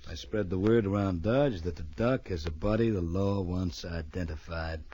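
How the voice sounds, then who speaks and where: An actor playing a laconic, tough frontier marshal in an old radio western, speaking in clipped, terse phrases. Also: male voice, deep voice, normal speed, muffled recording, lightly acted. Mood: dry narration.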